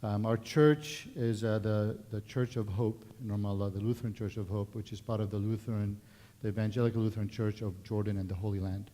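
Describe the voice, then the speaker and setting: Palestinian-accented man, mellow low voice. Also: fairly quick. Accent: Palestinian Arabic. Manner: relaxed, conversational, many fillers and restarts. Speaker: guest speaker. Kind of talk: personal testimony at a worship service. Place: church sanctuary. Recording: long church reverb.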